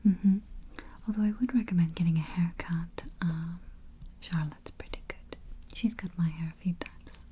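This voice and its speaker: A woman voicing a polite female vampire travel agent in soft, breathy, mostly whispered ASMR roleplay, speaking gently and close to the microphone. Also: lowish voice, steady tone, slight background noise, natural voice. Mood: soothing, helpful. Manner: gentle, unhurried, hesitant murmured hums.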